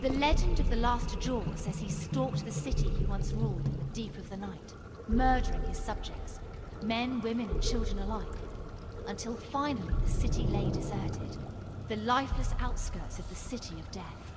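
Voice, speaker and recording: A woman playing a sharp, sardonic archaeologist adventurer in video game cutscenes, with a dry, scholarly way of talking. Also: on the high side, animated, normal speed, lightly acted. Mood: ominous, explanatory. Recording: loud music over the voice.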